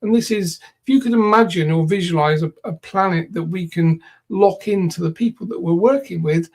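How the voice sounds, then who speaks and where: A man's voice: sits high, normal speed. A man channeling a male spirit guide who speaks formally as "we" and calls his co-host "my dear". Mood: calm, explanatory.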